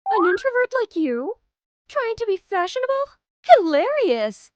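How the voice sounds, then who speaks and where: A woman's voice: extremely high pitch, very animated, unhurried, no room sound, muffled recording, full character voice. Sneering schoolgirl bullies. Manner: sneering, taunting. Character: mean teenage girl bullies, mocking. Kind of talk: anime dub voice acting. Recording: music bed behind voice.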